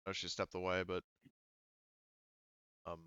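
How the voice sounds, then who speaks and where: Man, game master, low smooth voice, casual, hesitant thinking aloud, slightly muffled dry recording. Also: completely flat delivery, slow pace, a bit bright, no echo. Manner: hesitant, thinking aloud while working out rules. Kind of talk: casual tabletop game refereeing.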